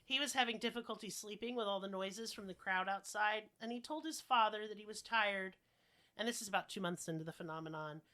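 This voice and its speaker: A woman's voice: medium pitch, a little fast, sharp tone. A woman hosting a podcast while sick, telling stories in a casual, chatty, joking way with slang and swearing. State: sick, coughing.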